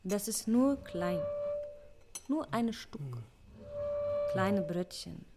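An actress playing a patient nursing-home carer in a naturalistic radio play, coaxing in short phrases with many pauses. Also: female voice, mid-range voice, lively intonation, loud music behind the voice. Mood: gently insistent. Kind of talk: naturalistic radio drama acting.

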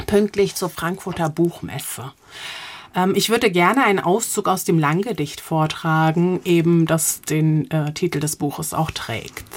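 A woman in her forties speaks as a guest in an interview, in a slightly low voice. She answers conversationally and reflectively, often hesitating as she looks for the right words. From Offenbach, Germany. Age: 40s.

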